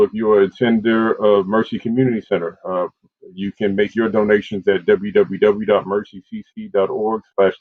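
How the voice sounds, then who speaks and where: A man's voice: lowish voice, dry recording. A man hosting an online community program and reading out announcements over a video call. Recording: dull, lo-fi video call audio.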